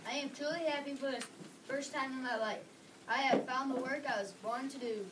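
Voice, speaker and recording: Woman as earnest, boastful folk-hero boy, reader's theater, high voice, distant noisy recording. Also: fairly quick, lightly acted. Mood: earnest bragging. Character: big, bragging, polite newborn boy folk hero. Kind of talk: reader's theater script reading. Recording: distant mic with clear background noise.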